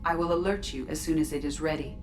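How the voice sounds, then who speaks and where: An actress playing a dutiful ship AI in a video game, precise, even and formal. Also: female voice, sits low, fast talker, a little hiss or hum, natural voice. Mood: composed. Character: composed, dutiful female ship artificial intelligence. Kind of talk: video game character acting. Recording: game music behind the voice.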